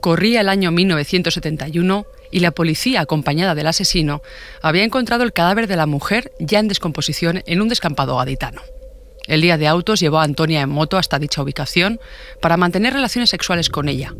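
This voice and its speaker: A man's voice: on the high side, animated, fast talker, a bit bright, slight background noise. A man with a Spanish accent narrating a scripted true crime podcast.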